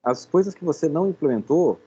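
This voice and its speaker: Quick, husky Brazilian man, muffled audio. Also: on the high side, animated, dark tone. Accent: Brazilian Portuguese. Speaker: professor. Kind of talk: informal teaching feedback.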